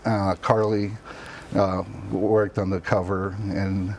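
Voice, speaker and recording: A middle-aged man, originally from Cuba, speaks in a fairly low voice. He tells personal stories as a guest in a studio interview, casual and somewhat halting in his phrasing. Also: flat delivery, a little mellow, slight background noise. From born in Cuba, raised in the New York area from childhood.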